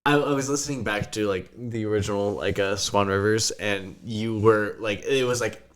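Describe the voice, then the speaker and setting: A young man playing a tabletop role-playing game, joking, swearing and laughing a lot, sometimes speaking in character. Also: lowish voice. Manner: laughing, profane, rapid joking. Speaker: player. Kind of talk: casual tabletop game chat, partly in character.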